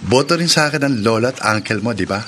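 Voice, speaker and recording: An actor playing a playful, easily frightened young boyfriend in a Filipino radio horror drama. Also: male voice, mid-range voice, animated, fast, fairly bright tone, a little hiss or hum, lightly acted. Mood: teasing, playful.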